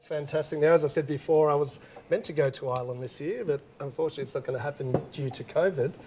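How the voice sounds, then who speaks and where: A man's voice: a little high, fast talker, noisy background. Upbeat man hosting a livestream. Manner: upbeat, laughs often. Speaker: host.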